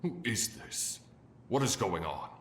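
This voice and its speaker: An actor playing a stern, domineering older king and father in an audio drama, with a gravelly, commanding, menacing voice. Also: deep voice, big pitch swings, unhurried, fairly bright tone, a little hiss or hum, full character voice. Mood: outraged. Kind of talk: audio roleplay drama acting.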